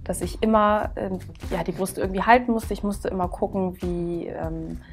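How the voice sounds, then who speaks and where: A woman's voice: mid-range voice, moderate pace, soft background music. A woman in her twenties earnestly telling her personal story and explaining things step by step to camera. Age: 20s. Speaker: interviewee. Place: indoor studio set, seated.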